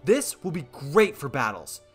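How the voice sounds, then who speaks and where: A man's voice: on the high side, big pitch swings, measured pace, fairly bright tone, slightly rough, faint music behind, exaggerated voice. A man playing an eager, battle-minded young merman prince with an enthusiastic manner in an audio drama.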